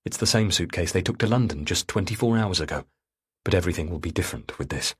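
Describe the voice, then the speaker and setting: A man's voice: low-pitched, quick pace, slightly rough. A man reading a thriller audiobook as narrator, in a dramatic scripted style.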